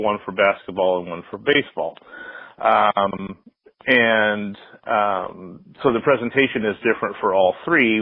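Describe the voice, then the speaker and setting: Low-voiced American man on a roomy, thin line. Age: middle-aged. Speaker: interview guest, sports public address announcer. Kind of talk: casual conversational interview answers. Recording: roomy, slightly muffled phone-like line.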